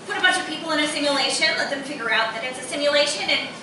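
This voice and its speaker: A woman's voice: high voice, rapid-fire, lightly acted. Upbeat, curious female student played by a young woman, conversational radio drama, distant mic and noisy live room. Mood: curious, excited. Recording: echoey, noisy live theatre recording from afar.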